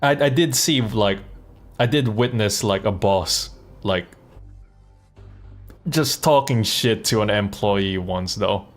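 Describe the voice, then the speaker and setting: A man giving casual live game commentary as a streamer, talking in a halting, reflective way. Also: lowish voice. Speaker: streamer and voice actor. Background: game music.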